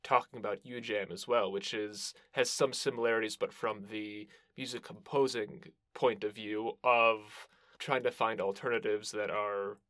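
A man's voice: lowish voice, fairly even intonation, normal speed, fairly bright tone, no echo, clean studio sound. A man hosting an interview podcast, asking questions in a casual conversational style.

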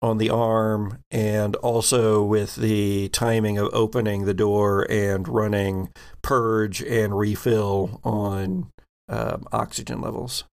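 A man narrating a tabletop sci-fi game as game master, explaining in a halting way. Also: on the low side, level tone, gravelly, smooth.

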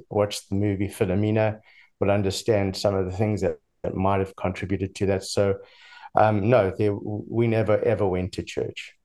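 A man's voice: lowish voice, dark tone. A man in his sixties with a slightly mixed South African accent, telling his life story as an interview guest in a hesitant, reflective way. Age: 60s.

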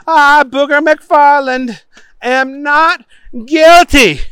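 A performer acts out a clueless man defending himself in a comic tale. The voice is high and bright, earnest and emphatic. He bumbles through simple words as if very sure of himself. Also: steady tone, extremely slow, no echo, heavily acted. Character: dim, earnest, muddled male defendant playing lawyer. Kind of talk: comic character voice in storytelling.

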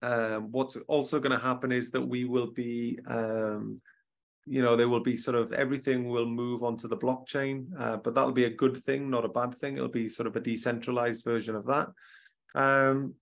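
A man's voice: mid-range voice, level tone, normal speed, creaky. A man, a spiritual mentor talking as a podcast guest in a rambling way, full of ums and restarts. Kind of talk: casual conversational interview.

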